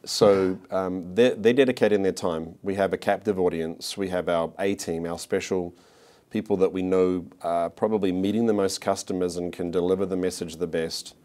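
Deep-voiced New Zealand businessman. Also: fairly even intonation, moderate pace, a little mellow. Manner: hesitant, many restarts and fillers. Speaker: marketing executive. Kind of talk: corporate interview. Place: office studio set.

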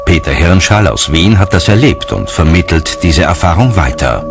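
A man's voice: sits low, animated, moderate pace. A man reading scripted documentary narration as the narrator. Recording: music bed under narration.

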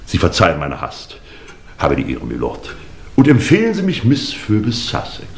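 An actor playing an officious, obsequious family lawyer with fussy formal manners in a 1950s German radio play. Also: male voice, on the low side, wildly swooping pitch, normal speed, vocal fry, heavily acted. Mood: businesslike, hurried.

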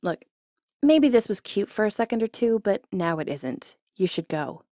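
Young voice actress as a jealous college ex-girlfriend, teasing and stubborn, asmr roleplay, super dry close sound, medium pitch. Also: moderate pace, no echo, lightly acted. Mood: indignant, defensive. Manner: teasing, expressive, addressing the listener directly. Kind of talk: ASMR roleplay acting, intimate one-sided dialogue.

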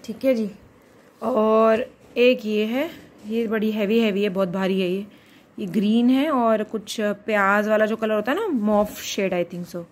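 A woman's voice: medium pitch, a little slow. A woman in her thirties vlogging casually on a phone held at arm's length, mixing English words into her Hindi. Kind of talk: casual vlog narration. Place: outdoors by a garden, then at home, then in a busy shop.

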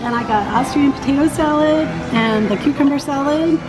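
A woman's voice: sits high, a little fast. A woman in her forties chatting casually and informally as a vlog companion. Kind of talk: casual chat. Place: inside a car, outdoors, and at a restaurant patio. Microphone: camera at arm's length. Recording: noisy car and handheld camera audio.

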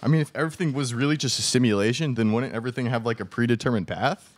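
A young man playing a laid-back moviegoer with a casual, halting delivery. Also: medium pitch, close to an everyday voice. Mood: easygoing. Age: young adult. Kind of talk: student radio theatre dialogue. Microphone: microphone on stand.

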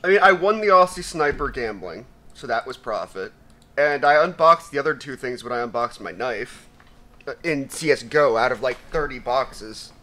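A young man with a raspy voice giving casual, profane commentary while opening loot, swinging between mumbling and shouting. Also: medium pitch, animated, moderate pace. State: raspy from sneezing. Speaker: gaming YouTuber.

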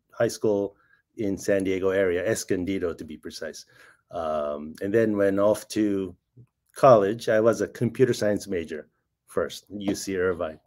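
A man in his fifties, born in Japan, talking about his career over a video call in a soft-spoken, hesitant way. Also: fairly low pitch. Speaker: guest artist. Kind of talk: casual conversational interview. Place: home room, indoors.

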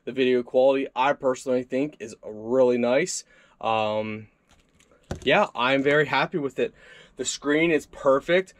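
Young adult man, mid-pitched, easygoing product-review delivery from a desk. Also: measured pace. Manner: relaxed, conversational walkthrough with fillers. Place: indoor desk workspace.

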